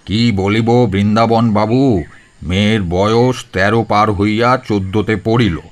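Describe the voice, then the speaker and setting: A pompous, pious elder brother acted by a man, low in pitch. He nags and scolds in insistent, colloquial talk, as in an audio drama. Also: steady tone, measured pace, natural voice. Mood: reading aloud gravely. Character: pious, pompous, nagging Bengali elder brother, office clerk. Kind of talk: audio story acting.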